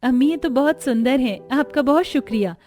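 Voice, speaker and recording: A woman's voice: high-pitched, fairly quick, dark tone, voice pushed up, natural voice. A woman voicing a humble, anxious young bride from a poor family in a cartoon story, earnest and deferential. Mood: grateful.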